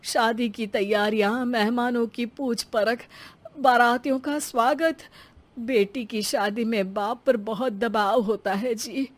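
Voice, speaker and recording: A humble father of the bride played by a woman in a cartoon tale. The voice sits fairly high, anxious and deferential, always pleading. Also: steady tone, slow.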